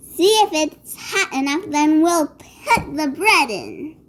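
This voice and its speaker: A wicked old witch from a fairy tale, played by a woman reading aloud. The voice is very high and screeching, drawing the words out slowly. She is sly, impatient and commanding, with a cackle. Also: sing-song, a bit bright, full character voice. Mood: impatient, angry. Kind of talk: storybook read-aloud character voice.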